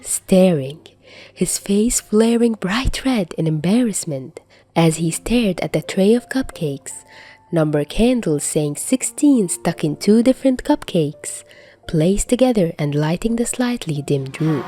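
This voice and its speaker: A woman's voice: lowish voice, lively intonation, measured pace, mellow. A woman reading fiction aloud in an audiobook narration style. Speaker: podfic narrator.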